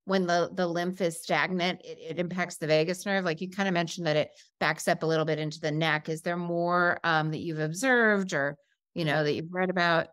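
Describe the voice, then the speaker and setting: A woman's voice: lowish voice, steady tone, brisk, a bit bright, dry recording, studio quality. A middle-aged woman hosting an interview over a video call, speaking in an informal, conversational way. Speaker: interview host. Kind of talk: casual chat. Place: room at home, video call.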